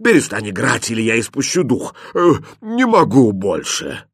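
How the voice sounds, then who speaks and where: Storybook head cook, old and stout, thick bass, loud grumbler with a soft heart played by a man. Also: wildly swooping pitch, moderate pace, husky, no room sound, studio quality. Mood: exhausted. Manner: loud, blustering, groaning.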